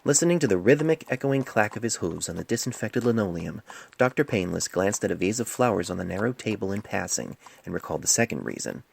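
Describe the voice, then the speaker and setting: A man reading a scripted story as an amateur narrator, measured and literary, recorded on a cell phone microphone. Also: on the low side, very animated, vocal fry, husky.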